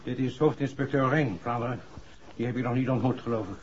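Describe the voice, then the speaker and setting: A man's voice: medium pitch, fairly quick, natural voice. Man acting a no-nonsense top cop in an old 60s radio play, medium voice, bit muffled. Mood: businesslike. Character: titled senior police official, familiar and businesslike. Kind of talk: 1960s Dutch radio drama acting. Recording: dull, faintly noisy vintage radio recording.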